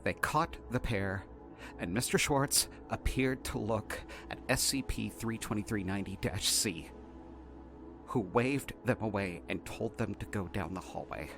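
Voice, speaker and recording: Man playing a flustered researcher dictating a report. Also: fairly low pitch. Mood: formal, uneasy. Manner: halting, flustered, repeating words. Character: shaken, exasperated male researcher dictating an incident report. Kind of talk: audio drama report narration. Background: faint music.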